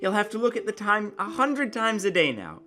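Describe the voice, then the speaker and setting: A man's voice: sits high, big pitch swings, normal speed, pushed and bright, faint music behind, voice pushed up, exaggerated voice. A man voicing a tender, eager young wife in a dramatic story reading. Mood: eager, excited.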